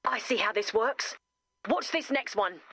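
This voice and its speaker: A woman's voice: fairly high pitch, animated, measured pace, a bit bright, husky, exaggerated voice. A woman voicing a chipper camp counselor in a video game, with an upbeat, theatrical storytelling manner. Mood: wry. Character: chipper, theatrical female camp counselor telling spooky stories. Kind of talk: video game character acting.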